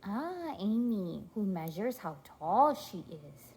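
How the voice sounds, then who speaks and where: Woman, parent reading aloud, animated swooping pitch, unhurried pace, encouraging, prompting, faint noise behind. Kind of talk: read-aloud storytime to a toddler.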